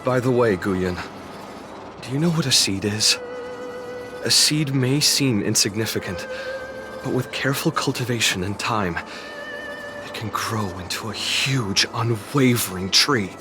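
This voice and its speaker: A man's voice: lowish voice, a little slow, long pauses, lightly acted. Weary, steadfast male warrior, acted by a man, music behind. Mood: calm resolve. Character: stranded male warrior, weary, steadfast, idealistic resistance fighter. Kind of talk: dramatic video game voice acting.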